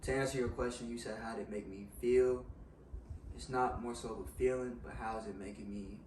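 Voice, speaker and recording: Young man, slightly raspy, roomy and distant. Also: medium pitch, steady tone, fairly quick, a little mellow. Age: young adult. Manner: frank, hedging with "you know what I'm saying". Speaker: guest in a discussion. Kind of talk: casual reflective chat. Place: living room, on a couch. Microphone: far camera. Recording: roomy sound from far off, faint hiss.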